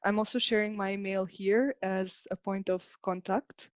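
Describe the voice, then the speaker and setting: A woman's voice: mid-range voice, steady tone, normal speed, dry recording. Woman presenting, slightly dull dry recording. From Albania. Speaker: software engineer presenting at a conference. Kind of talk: prepared technical presentation.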